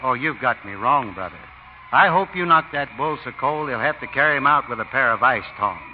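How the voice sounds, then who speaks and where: An actor playing a folksy, smooth-talking deacon who is a sly card sharp, in vintage radio style with preachy delivery. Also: male voice, mid-range voice, animated, fairly quick, slight background noise, lightly acted. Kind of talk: 1930s-40s radio acting. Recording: muffled old radio broadcast.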